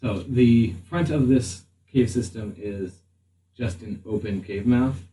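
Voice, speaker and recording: A man acting as game master, narrating a tabletop game in an improvised, halting way as he thinks aloud. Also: medium pitch, warm tone. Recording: slightly distant mic, faint room noise.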